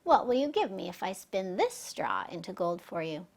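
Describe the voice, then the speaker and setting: A woman's voice: mid-range voice, big pitch swings, moderate pace, gravelly. Woman voicing a sly, teasing little magical man. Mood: bargaining.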